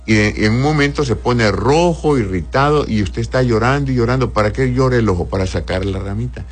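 A man's voice: mid-range voice, a little fast, soft background music. A male doctor answering listeners' health questions in a patient, explanatory way, often checking "right?" and calling patients "my love".

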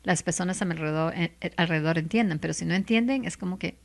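A middle-aged woman, a lawyer and legal commentator, speaking as an interview guest in an animated, heartfelt way, laughing often and repeating words for emphasis. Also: on the low side, quick pace, vocal fry. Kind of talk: faith-based interview answer.

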